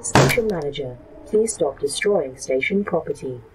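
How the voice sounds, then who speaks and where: Station AI, actress: formal, reproachful, very low female register, sci-fi drama. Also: expressive, normal speed. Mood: polite reproach. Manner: formal, polite. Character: formal, reproachful station artificial intelligence assistant. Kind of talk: sci-fi horror audio drama acting. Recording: loud background noise.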